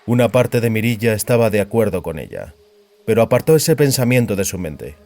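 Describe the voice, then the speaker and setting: A man's voice: fairly low pitch, animated, fairly quick, mellow. A man narrating a science fiction audiobook in a scripted, dramatic storytelling style.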